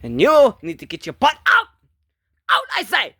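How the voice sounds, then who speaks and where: A performer voicing a bossy, foul-mouthed bully character in an improvised comedic skit, brash, scolding and given to yelling. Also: mid-range voice, big pitch swings, unhurried, creaky, breathy edge, no echo, studio quality, exaggerated voice. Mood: loud, combative.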